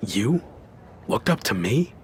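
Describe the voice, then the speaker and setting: A man's voice: lowish voice, very animated, slow pace, darkish tone, slight background noise. A man playing an earnest underdog pro wrestler, a former big-league talent, in a scripted video game cutscene. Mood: doubtful.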